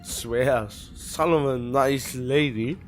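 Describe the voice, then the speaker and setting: A man streaming a game, commenting casually and haltingly as he thinks out loud. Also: mid-range voice. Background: game music.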